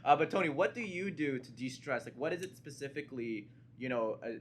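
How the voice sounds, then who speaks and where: A man's voice: mid-range voice, animated, a little fast. Chatty man hosting a casual interview. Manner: chatty, laughs easily, rambling questions. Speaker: host, interviewer. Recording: faint background noise.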